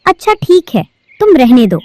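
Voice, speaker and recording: A woman's voice: sits high, very animated, moderate pace, a little mellow, dry recording. Woman doing a bossy greedy crow teacher. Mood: dismissive. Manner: bossy, scolding. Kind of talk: cartoon voice acting.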